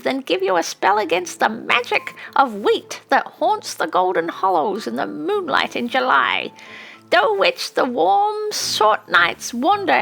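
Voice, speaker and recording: A woman's voice: sits high, gravelly, fairly bright tone, voice pushed up, heavily acted. A woman voicing a fierce, scornful old witch in a hissing, incantatory storybook manner.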